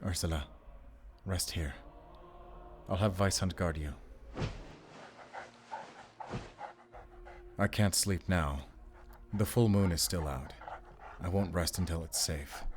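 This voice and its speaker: Man as a deep-voiced, brooding monster hunter. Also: unhurried, long pauses, background noise. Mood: tender, weary. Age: 30s. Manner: terse, guarded, stern. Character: weary, brooding male werewolf hunter, gruff and defiant.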